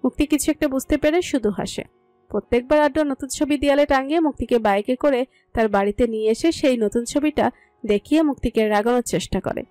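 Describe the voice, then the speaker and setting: A woman's voice: high-pitched, quick pace, mellow, dry recording. A woman narrating a scripted romantic story. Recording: music under the voice. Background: music.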